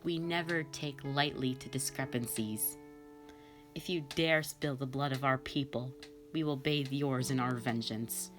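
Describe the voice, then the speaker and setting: A woman's voice: very deep voice, fairly even intonation, unhurried, music in the background, voice pushed down. A woman voicing a sadistic, vengeful female fox spirit in a scripted audio drama, theatrical and condescending. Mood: cold, menacing.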